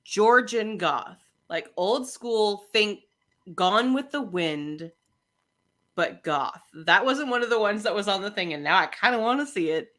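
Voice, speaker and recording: Bubbly bright-voiced woman. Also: medium pitch, unhurried. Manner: bubbly and excitable, lots of laughs and playful put-on voices. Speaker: podcast co-host. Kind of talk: casual live-stream chat.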